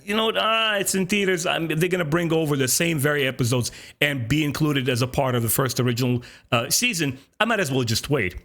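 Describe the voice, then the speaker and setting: A man's voice: medium pitch, a bit bright. Enthusiastic male podcast host. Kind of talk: casual fan discussion.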